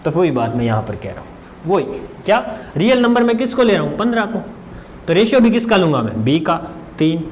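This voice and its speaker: A high-voiced man gives an informal exam-prep lesson. He talks like a teacher at the board, repeating key phrases, asking questions and answering them himself. There is some noise behind him. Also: very animated, a little fast, darkish tone. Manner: conversational, asks and answers his own questions as students would; mixes English terms into Hindi. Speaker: maths teacher.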